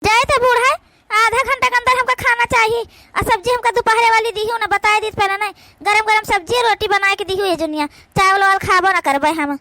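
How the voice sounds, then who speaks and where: Woman voicing a shrill, haughty young daughter-in-law. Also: fairly even intonation, a little fast, sharp tone, no room sound. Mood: haughty, demanding. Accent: Awadhi. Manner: bossy, defiant. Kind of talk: amateur cartoon voice acting.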